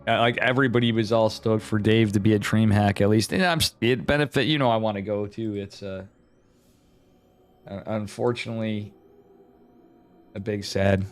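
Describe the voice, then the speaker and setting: A man's voice: fairly low pitch, steady tone, normal speed, pauses often. A man with an American accent from upstate New York, chatting casually and rambling with viewers as he hosts and commentates a game livestream. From upstate New York, United States. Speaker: esports caster and stream host.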